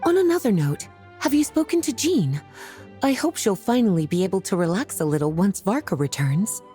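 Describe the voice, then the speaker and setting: Casting: woman as firm dry archivist, mellow dark tone, lively pitch. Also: brisk, lightly acted. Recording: game audio with faint music.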